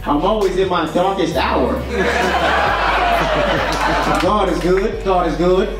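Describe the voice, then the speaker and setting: Young man doing loud stand-up in an echoey club. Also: high voice, slow, heavy background noise. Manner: loud, animated, punchy delivery with shouted bits. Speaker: stand-up comedian. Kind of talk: stand-up comedy set. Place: comedy club stage. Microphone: handheld mic. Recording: echoey club heard from far off. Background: crowd laughing.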